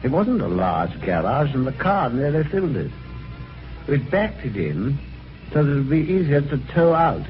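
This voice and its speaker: An actor playing a well-mannered, slightly dim British husband in an old-time radio mystery, talking in a chatty, hesitant, old-fashioned way. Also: male voice, mid-range voice, big pitch swings, moderate pace, soft background music, close to an everyday voice. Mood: puzzled, reflective. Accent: British English. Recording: dull, faintly noisy vintage radio recording.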